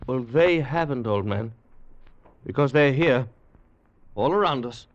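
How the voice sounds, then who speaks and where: An actor playing a bold, wary scientist and inventor, speaking briskly and emphatically in a 1950s radio drama style. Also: male voice, mid-range voice, expressive, natural voice. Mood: urgent. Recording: muffled vintage radio recording, faint noise.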